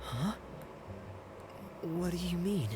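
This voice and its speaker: An actor playing a quiet, gentle young orphan boy in a video game, earnest and conflicted, with a hesitant sincere manner. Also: high voice, animated, takes it slowly, background noise. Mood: apologetic. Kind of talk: dramatic video game acting.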